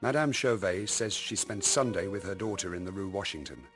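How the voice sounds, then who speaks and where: An actor playing a matter-of-fact man reporting case details in a radio drama, in measured, scripted narration. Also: sits low, fairly bright tone, faint music behind. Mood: measured, factual. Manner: measured, factual.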